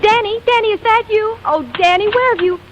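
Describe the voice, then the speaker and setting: An actress playing an anxious, jealous musical-comedy star in a 1940s radio drama. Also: female voice, very high-pitched, a little fast, noisy background. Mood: anxious, pleading. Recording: muffled 1944 radio broadcast with music.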